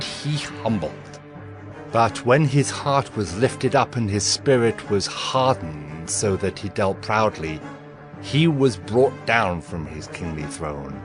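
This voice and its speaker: A man playing a grave, bold Hebrew prophet in a dramatized scripture reading, speaking in a solemn, declamatory manner. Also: mid-range voice.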